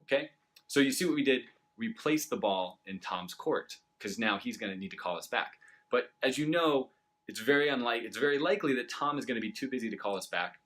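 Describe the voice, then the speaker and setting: A man's voice: medium pitch, lively intonation, fairly quick, fairly bright tone. A man coaching viewers in a conversational, explanatory way, checking in with short rhetorical questions as he gives an instructional business tip. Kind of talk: instructional sales tip to camera.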